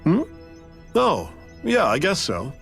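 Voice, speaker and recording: An actor playing a curt, absent-minded space station scientist in a video game. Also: male voice, a little high, wildly swooping pitch, slow, creaky, fairly bright tone, faint music behind, full character voice. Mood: distracted. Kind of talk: video game cutscene acting.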